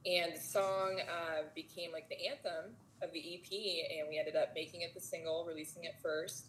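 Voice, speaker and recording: A woman's voice: lowish voice, steady tone, normal speed, a little hiss or hum, rough recording. A woman musician being interviewed, chatty, with many fillers. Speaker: musician guest. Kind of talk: casual interview chat.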